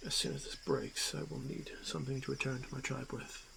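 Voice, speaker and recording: Guy doing a stoic orc warrior, super gravelly n breathy, talks soft n halting, fantasy asmr, loud cricket noise behind. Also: medium pitch, big pitch swings. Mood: grave, determined. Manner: hushed, halting, formal speech with frequent pauses. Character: stoic, honor-bound male orc warrior, gently protective. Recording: noisy track with night insect ambience. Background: crickets and insect ambience.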